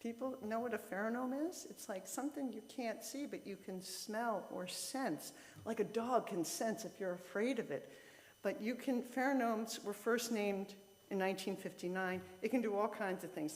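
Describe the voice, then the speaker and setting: A woman's voice: medium pitch, normal speed. Woman giving a talk in a reverberant hall. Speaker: lay speaker at a church service. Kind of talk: informal lay sermon with slides. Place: church sanctuary, speaking to a congregation. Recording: reverberant hall with long echo.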